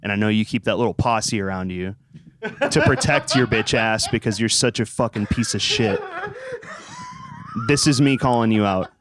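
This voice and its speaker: A man's voice: fairly low pitch, moderate pace, crowd noise. A young American man chatting casually as an interviewed band musician, joking, rambling and swearing. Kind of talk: casual chat.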